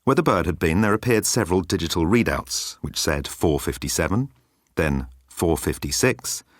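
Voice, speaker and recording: A man's voice: deep voice, expressive, moderate pace, dry recording, clean studio sound. A man reading comic science fiction as a scripted audiobook narration.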